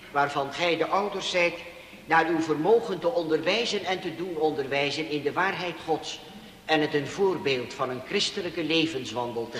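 An actor playing a solemn Protestant minister conducting a baptism in a formal, measured, declamatory way in a 1960s radio drama. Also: male voice, fairly high pitch, a bit bright. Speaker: pastor. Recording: echoey church hall, noisy old radio recording.

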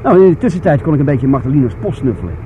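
A male actor as a chatty sidekick in a radio play, talking quickly and a little hesitantly. The sound is thin and phone-like, with clear car noise behind him. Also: medium pitch, sing-song, close to an everyday voice. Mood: chatty, sheepish. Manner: chatty, hesitant explaining with tag questions. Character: casual male sidekick who came to the rescue. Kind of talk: radio drama dialogue.

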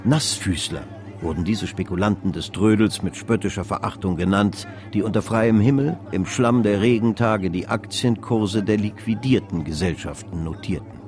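An actor narrating a literary radio play as a measured, all-knowing storyteller of an old stock exchange tale. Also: male voice, lowish voice, a little mellow, close to an everyday voice. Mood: measured, vivid. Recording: music behind the voice. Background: music.

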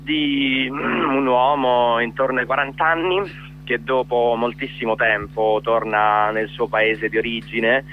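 A man, a stage actor and director, talking about his play in a casual phone interview, pausing often with fillers as he searches for words. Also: medium pitch, fairly even intonation, phone-quality sound, a little hiss or hum. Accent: Italian.

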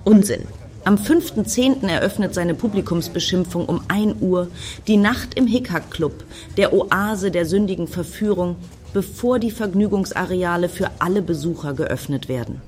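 A woman's voice: fairly low pitch, animated, normal speed, darkish tone, lightly acted. An actress playing an impatient, self-assured, defiant woman in a satirical radio play, brusque and assertive. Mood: declaiming, brusque.

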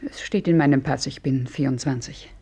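Low, husky, guarded young woman. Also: steady tone, a little fast, mellow, heavily acted. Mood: guarded, curt. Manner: terse, reserved. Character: guarded, evasive young woman of twenty-four. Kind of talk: 1950s radio drama acting.

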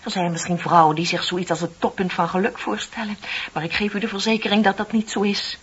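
An actress playing a heartbroken, ashamed young woman confessing in a radio play, dramatic and drifting into breathy near-whispers. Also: mid-range voice, flat delivery, fairly quick. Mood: bitter, anguished. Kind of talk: Dutch radio play acting. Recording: muffled radio play recording with tape hiss.

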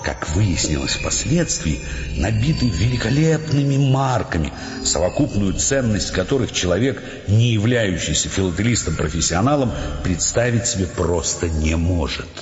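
Measured wry story narrator, deep, lots of reverb, music playing under it. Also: male voice, expressive. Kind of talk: dramatized scripted narration. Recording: echoey hall, dull sound, music behind.

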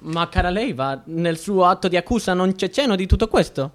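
An actor playing a confused, easily swayed judge in a radio play, stammering and repeating himself anxiously. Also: male voice, high-pitched, animated, brisk, a little hiss or hum. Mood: puzzled, nervous. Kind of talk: theatrical radio drama acting.

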